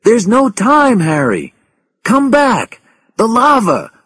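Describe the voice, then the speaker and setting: Man acting a pleading colleague, pitched high. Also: unhurried, slightly rough, dry recording, studio quality. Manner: urgent, pleading. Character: worried male colleague pleading over the radio.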